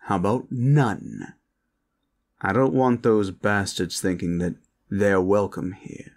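A man playing a weary, serious and cautious protector in an audio roleplay, speaking in a measured, earnest way. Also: fairly low pitch. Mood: stern, weary.

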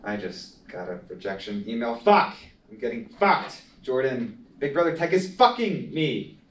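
A man's voice: medium pitch, big pitch swings, measured pace. A young man reading the part of a frustrated, sweary job seeker at a film table read, exasperated and stammering. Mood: angry. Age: young adult. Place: small meeting room, indoors. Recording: roomy, distant mic, faint noise.

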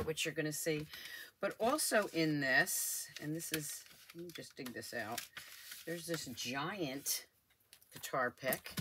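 Low, bright-voiced older woman crafting. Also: slight background noise. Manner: chatty, thinking aloud while working. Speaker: crafting channel host. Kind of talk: casual crafting tutorial voice-over. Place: home craft desk.

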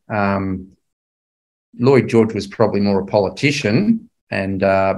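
A man's voice: fairly low pitch, poor audio. Older man lecturing, emphatic and questioning. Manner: conversational, emphatic, puts rhetorical questions to listeners. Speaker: Bible class speaker. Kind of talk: illustrated history lecture to a religious class.

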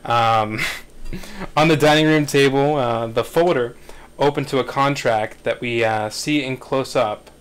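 A man in his thirties narrating screenplay directions in a measured, halting way at a table read. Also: medium pitch, smooth.

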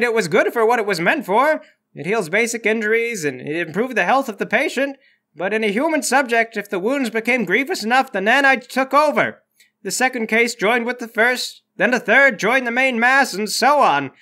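Man doing a high-pitched, exasperated mad scientist doctor. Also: lively intonation, a little fast, bright, forceful tone, exaggerated voice. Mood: proud, explaining. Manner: theatrical, whiny complaining.

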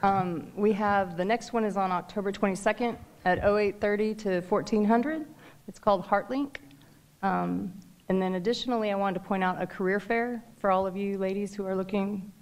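A woman, making informal announcements about base events at a town hall. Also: mid-range voice. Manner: chatty, informal, says y'all. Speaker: base services staffer.

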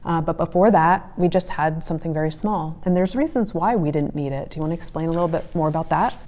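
A woman's voice: low-pitched, fast, a little mellow. A woman in her forties giving conversational, explanatory advice to camera as a farmer and host. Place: small wood-panelled room indoors by a stove.

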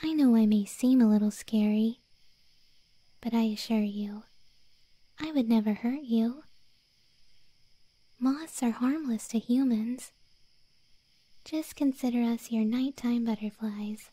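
A woman voicing a possessive, obsessive moth girlfriend in an ASMR roleplay, sweetly menacing in slow, breathy, cooing whispers close to the microphone. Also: a little high, fairly even intonation, dark tone, dry recording, poor audio. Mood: yearning, possessive. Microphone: close microphone, dry.

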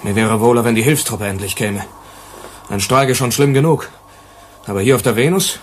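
Nervous mid-pitched male aide played by a man. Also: normal speed, slight background noise, close to an everyday voice. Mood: worried. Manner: anxious, deferential. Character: nervous, worried male subordinate at a Venus mining base. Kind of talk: German radio drama acting.

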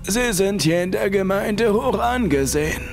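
A man voicing a humble, polite priest with a creaky, deferential manner, in a horror audio story. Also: high-pitched, expressive, normal speed, soft background music, pitched above the performer's own voice. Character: humble male priest, polite and soft-spoken.

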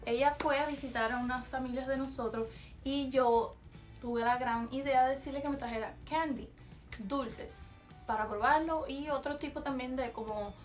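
A woman's voice: high-pitched, steady tone, moderate pace, faint music behind. A young woman with a Cuban Spanish accent, chatting casually to camera in a small bedroom and slipping English words into her talk. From Cuba. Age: young adult. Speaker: vlogger. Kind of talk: casual vlog, taste test.

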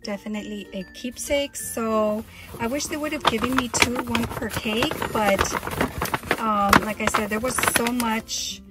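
A woman's voice: a little high, steady tone, unhurried, over loud music, poor audio. Chatty woman gushing over her haul. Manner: chatty, gushing, restarts sentences. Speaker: vlogger showing purchases. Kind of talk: casual haul vlog.

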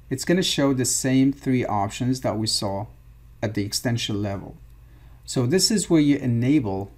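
A man's voice: medium pitch, darkish tone. A man presenting an instructional screencast, explaining things step by step. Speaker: software tutorial presenter.

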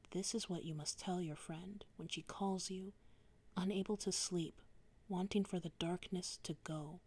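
A young woman whispering softly through a slow ASMR poetry reading, drifting close to and away from the microphone. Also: fairly low pitch, husky. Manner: soft unvoiced whispering, varied mic distance.

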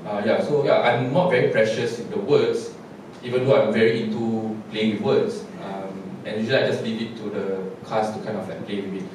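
Man, playwright on a stage panel, reflective and rambling, low-ish voice, distant and echoey. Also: fairly quick. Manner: relaxed, reflective, many fillers and restarts. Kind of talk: post-show panel discussion. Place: large theatre stage. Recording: echoey hall, distant mic, noise.

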